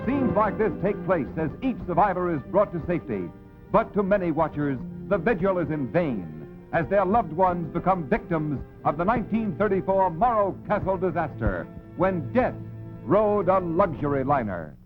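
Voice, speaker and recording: A male newsreel narrator from the 1930s, pitched high and heard through a thin, tinny old soundtrack. He declaims his script with solemn drama while music plays prominently behind him. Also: sing-song, normal speed.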